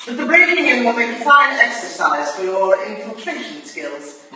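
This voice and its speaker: Far from the mic in a very echoey, noisy room, an actress plays an aloof Victorian lady mentor in a staged reading. She is formal and commanding, with a lively swing in pitch. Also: normal speed. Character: stern, aloof older Victorian woman, crusading mentor.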